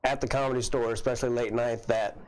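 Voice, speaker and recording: A man in his forties with a Southern US accent talks comedy in a relaxed, unhurried way. He trips over his own starts and circles back, keeping a middle-pitched, everyday voice. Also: clear, resonant tone, low-quality recording. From Arkansas, raised in Kansas City. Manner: halting, many restarts, easygoing. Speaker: comedian guest. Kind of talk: casual interview chat. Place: basement of a comedy club.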